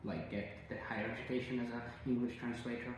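A man's voice: mid-range voice, fairly even intonation, fast talker, slight background noise. A young man from Ukraine, a student, answering questions in careful, hesitant English with small grammar slips; his first language is Ukrainian. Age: teens. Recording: very echoey room.

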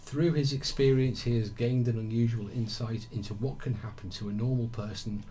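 A man's voice: mid-range voice, steady tone, moderate pace, dark tone, slight background noise, low-quality recording. A man hosting a live local radio show, laughing his way through studio mishaps. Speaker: radio host. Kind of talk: casual live radio chat. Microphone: studio microphone.

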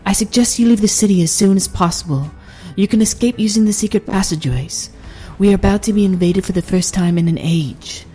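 A woman voicing a composed young princess who speaks in a measured, formal way, with faint music behind. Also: mid-range voice, warm tone, slightly rough, natural voice. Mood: urgent.

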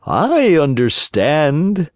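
A man's voice: on the high side, huge pitch swings, no room sound, clean studio sound, voice pushed up, exaggerated voice. A man voicing menacing, mocking assassins in a storybook reading. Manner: threatening, drawn-out taunts.

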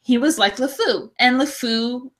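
A woman's voice: high-pitched, unhurried. A woman co-hosting a podcast, chatting casually about films in a gushing, slangy, effusive way. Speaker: podcast co-host.

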